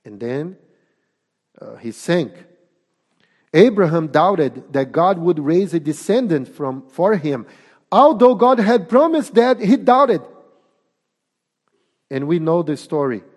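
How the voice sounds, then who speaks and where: A man with a Portuguese accent preaching a sermon, speaking deliberately and emphatically with pauses. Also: fairly high pitch, very animated, a little mellow. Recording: long reverb of a hall.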